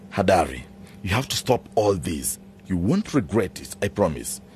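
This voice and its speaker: Scheming rich farm boss in a radio play, low voice swooping around, bossy and threatening, some noise. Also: male voice, very animated, measured pace, darkish tone. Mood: conspiratorial, coaxing. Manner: domineering, theatrical, by turns wheedling and threatening. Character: menacing, scheming wealthy male farm owner. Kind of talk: radio drama acting.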